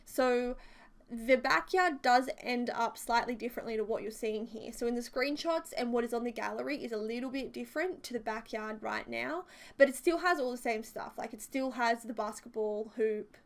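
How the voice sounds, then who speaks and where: Chatty young woman, a bit croaky. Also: on the high side, normal speed. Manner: chatty and rambling, many fillers and side notes. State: slightly croaky from a sore throat. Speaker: video creator narrating a build. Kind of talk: casual voice-over commentary.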